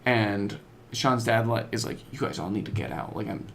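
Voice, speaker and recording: Casual young-sounding man with creaky voice. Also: lowish voice, moderate pace, slight background noise. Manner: slangy, informal storytelling. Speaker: podcast co-host. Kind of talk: casual chat about video games.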